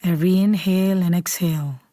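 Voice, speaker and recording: A woman leading a guided meditation in a calm, unhurried, soothing way, softly and at times almost whispering. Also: on the low side, dry recording, studio quality. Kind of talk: guided meditation, slow scripted instruction.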